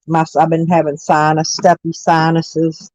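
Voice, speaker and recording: A hoarse woman with stuffy sinuses, rambling folksily as she chats with live viewers from her camper van. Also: low voice, fairly even intonation, slow, a little mellow, no echo. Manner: rambling, folksy, self-correcting. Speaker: vlogger chatting with live viewers. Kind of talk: casual morning chat.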